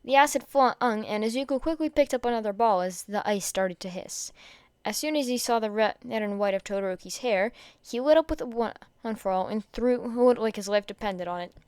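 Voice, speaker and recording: A woman reading fan fiction aloud in an expressive storytelling style, with a clear voice. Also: a little high, brisk, dry recording.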